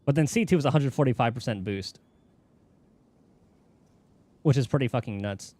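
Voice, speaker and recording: A man's voice: medium pitch, moderate pace, a little mellow, no room sound. A man chatting casually on a game livestream, rambling and thinking aloud as he goes. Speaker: game streamer. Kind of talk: casual livestream chat while gaming.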